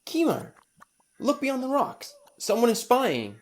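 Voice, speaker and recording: A woman's voice: on the high side, big pitch swings, unhurried. A woman playing a mild, obedient Martian crew member in a comic sci-fi audio drama. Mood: dutiful. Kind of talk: comic sci-fi radio play acting.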